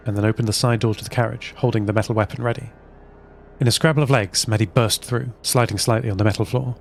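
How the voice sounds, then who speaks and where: Creaky-voiced man narrating a story. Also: medium pitch, a little hiss or hum. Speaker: narrator of a fiction podcast. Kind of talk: scripted first-person story narration. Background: music.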